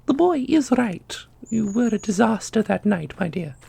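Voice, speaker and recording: A woman's voice: fairly high pitch, very animated, moderate pace, creaky, warm tone, slightly rough, exaggerated voice. A woman playing an ancient, secretive divine custodian in a fantasy audio roleplay, knowing, theatrical and condescending. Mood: teasing.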